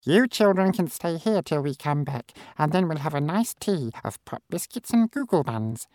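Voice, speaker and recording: A man voicing a kindly, motherly female fairy in a storybook, in a raised, brisk voice. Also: expressive, no echo, clean studio sound. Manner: warm, brisk, high.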